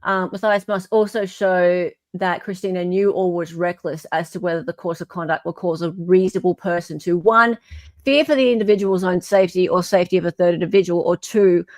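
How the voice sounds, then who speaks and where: A woman's voice: lowish voice, a little fast, a little mellow, no room sound. A woman co-host reading a document aloud informally and adding wry, mocking commentary.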